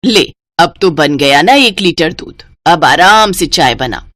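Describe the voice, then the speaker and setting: A woman's voice: low-pitched, lively intonation, slow, a bit bright, no echo, exaggerated voice. A woman voicing a stingy, bossy mother-in-law in a cartoon story, commanding and emphatic.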